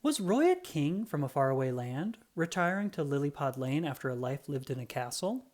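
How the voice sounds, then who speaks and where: A storybook narrator with a mid-range voice reads aloud to children. The delivery is expressive and dramatic, with pitch that swings freely through the phrases. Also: moderate pace. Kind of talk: animated scripted storybook read-aloud.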